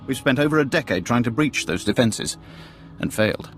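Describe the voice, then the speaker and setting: Man voicing a measured businesslike empire general in a game, normal pitch, faint music. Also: animated, lightly acted. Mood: formal, businesslike. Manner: formal, measured. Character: businesslike male imperial general, proud and calculating. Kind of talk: video game cutscene acting.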